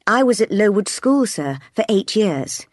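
A woman's voice: medium pitch, big pitch swings, measured pace, no echo, pitched above the performer's own voice. A woman plays a young governess in a storybook. She is composed and plain-spoken, answering directly, in a dry, close sound. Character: eighteen-year-old governess, plain-spoken, composed, frank.

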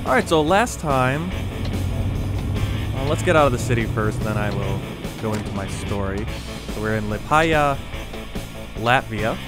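Male host from the US, mid-pitched, easygoing rambling game commentary over clear background music. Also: expressive, measured pace, pauses often. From United States. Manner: chatty, rambling, self-correcting. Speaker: gaming video host.

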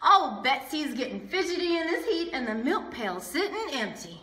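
A woman voicing an easygoing farmer father with a gentle drawl. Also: high-pitched, big pitch swings, normal speed, heavily acted. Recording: roomy echo.

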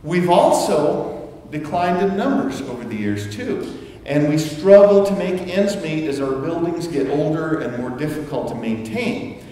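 A middle-aged man preaching a sermon to his congregation in a relaxed, conversational way, with asides and restarts. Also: on the high side, measured pace, a little hiss or hum. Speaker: pastor. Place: church sanctuary. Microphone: far camera, room sound. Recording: echoey church hall from far off.

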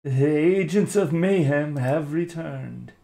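A man's voice: on the high side, takes it slowly, vocal fry, dark tone. A man casually introducing old radio shows as host, offhand and unscripted.

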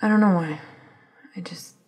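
A woman performs an anxious, self-doubting researcher in an intimate audio drama. The voice is low and very breathy. She speaks haltingly, searching for her words. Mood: anxious, hesitant. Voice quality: breathy, husky. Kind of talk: ASMR audio drama acting.

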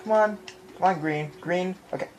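A man's voice: high voice, moderate pace, soft background music. A man giving casual, rambling gameplay commentary, often repeating his phrases, recorded on a handheld camera in a room. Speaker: gamer recording his playthrough. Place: indoor room in front of a TV. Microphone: handheld camera or phone filming the screen.

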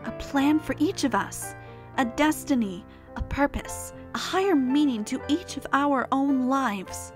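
A woman playing a devout, protective pony mare who captains the guard, speaking with earnest, solemn care in an animated fan drama. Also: sits high, unhurried, over music. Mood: earnest conviction.

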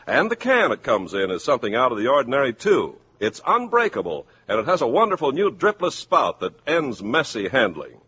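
A man announcing and pitching products in the polished style of a 1950s radio commercial. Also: wildly swooping pitch, fairly quick, hardly any pauses, bright, forceful tone, husky. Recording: muffled, hissy 1950s radio recording.

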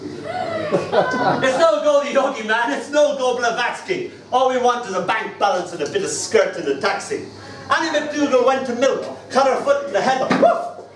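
An older man with an Irish accent performs live as a poet and host. His voice sits high and he speaks in a theatrical, rambling storyteller's way. It is picked up from a distance in a roomy space. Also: very animated, moderate pace, crowd noise. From Ireland. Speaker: poet hosting an open mic. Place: small indoor venue stage. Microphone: far camera. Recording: roomy, noisy, distant camera recording.

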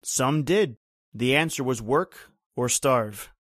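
A man's voice: medium pitch, expressive, slow, fairly bright tone, no echo. Man acting grim male islander, bitter storyteller, brooding rhythmic delivery, old-time radio horror, dry close sound. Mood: grim, resigned. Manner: brooding, rhythmic, archaic folksy phrasing.

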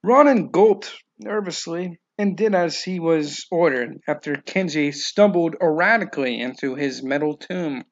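A man's voice: fairly high pitch, a little slow, few pauses. A man giving scripted narration of a novel, in a slightly dull, lo-fi recording.

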